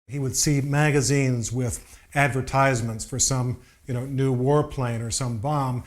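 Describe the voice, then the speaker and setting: A man explaining history in a conversational documentary interview style. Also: mid-range voice. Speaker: historical commentator.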